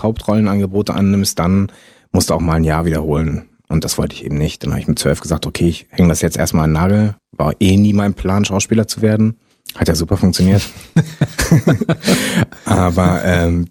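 Husky low-voiced man telling stories. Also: dry recording, clean studio sound. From Germany. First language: French. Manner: relaxed storytelling with many fillers. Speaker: actor guest. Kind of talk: casual interview.

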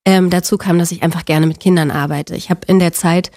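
A woman in her forties from Berlin, a guest talking openly and warmly, often with fillers and laughter. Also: lowish voice, fairly even intonation, fairly quick. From Berlin, Germany. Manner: open, friendly, laughs often, many fillers. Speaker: actress, guest. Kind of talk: casual interview chat.

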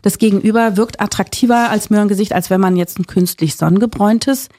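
A woman's voice: mid-range voice, fairly even intonation, warm tone. Breezy woman doctor explaining nutrition. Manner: brisk, informal, explains with jokes. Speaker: nutrition doctor and author, guest. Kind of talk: explanatory interview talk.

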